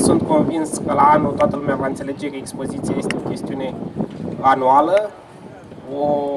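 A man's voice: a little high, vocal fry. A man giving a formal thank-you speech as a guest at an outdoor event. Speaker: official guest speaker. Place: open-air fairground stage. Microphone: distant camera, speech over loudspeakers. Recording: very noisy distant windy outdoor recording.

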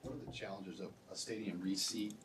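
Guy reporter asking a question from far off, low flat voice, some typing noise. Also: fairly quick, slightly rough. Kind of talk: press conference question. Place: press room, away from the microphone. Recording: distant, off-mic, noisy with keyboard clicks.

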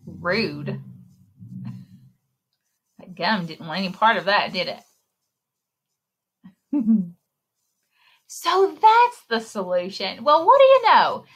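A woman in her thirties reading a picture book aloud to children in an expressive, exclaiming way, speaking directly to her young listeners, with a slightly husky voice. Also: medium pitch, slow, long pauses. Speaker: children's librarian and story time reader. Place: indoor room, recorded screen share with webcam.

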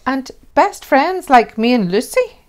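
Little girl twin played by a woman reader: worried, questioning, lively swings in pitch, storybook style. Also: a little slow. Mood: curious.

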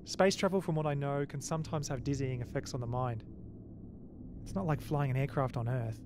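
A man's voice: mid-range voice, brisk, background noise, natural voice. A man playing an edgy, sarcastic spaceship pilot in an audio drama, talking in a blunt, sardonic way. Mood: thoughtful.

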